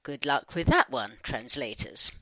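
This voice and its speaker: A woman's voice: extremely low pitch, expressive, measured pace, bright, forceful tone, dry recording. A woman reading her side of a channelled dialogue in an informal, wry, conversational way. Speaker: channeller putting questions to spirit beings.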